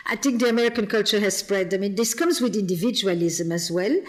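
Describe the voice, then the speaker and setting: A woman's voice: medium pitch, animated, fast. A woman from the Flemish part of Belgium with a Flemish accent, speaking thoughtfully as a guest therapist on a podcast. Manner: reflective, repeats words while thinking. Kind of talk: podcast interview.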